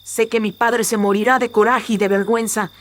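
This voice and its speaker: A man's voice: level tone, brisk, noisy background. A man voicing an anxious but brave young noblewoman in a horror radio drama, earnest and melodramatic, through a voice filter. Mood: anxious, desperate. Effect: pitch-shifting voice filter. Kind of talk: Spanish-language horror radio drama acting.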